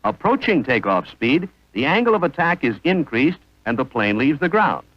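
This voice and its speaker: A man's voice: a little high, sing-song, moderate pace, no room sound, lo-fi sound. A man narrating an instructional training film in a formal, precise manner on a vintage soundtrack.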